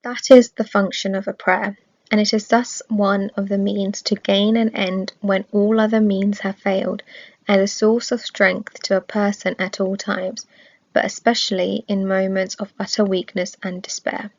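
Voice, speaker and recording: A woman of medium pitch reads scripted religious narration. She phrases things in a measured, orderly way. The recording is a little dull and lacking in top end. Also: level tone, gravelly. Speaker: reader of religious study text.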